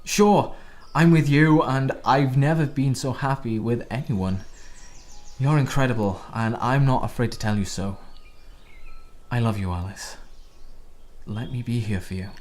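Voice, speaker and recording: A man's voice: mid-range voice, a little slow, a little mellow, close to an everyday voice. An actor in a radio drama playing a troubled, drifting man in love, earnest and emotional. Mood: earnest, tender.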